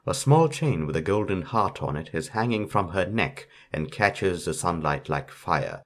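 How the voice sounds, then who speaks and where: A man's voice: sits low, animated, measured pace. A man reading a fairytale aloud as a storyteller, in scripted narration.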